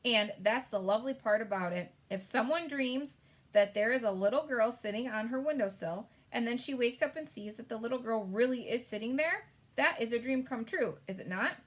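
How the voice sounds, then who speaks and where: A woman voicing a clever, excited little storybook girl who gives emphatic instructions. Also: a little high, normal speed.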